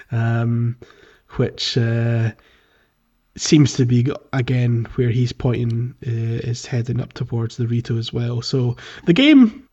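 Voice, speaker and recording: A man co-hosting a podcast, talking casually about a video game with hesitant, measured phrasing. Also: medium pitch, a little mellow, breathy edge, dull audio. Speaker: podcast co-host.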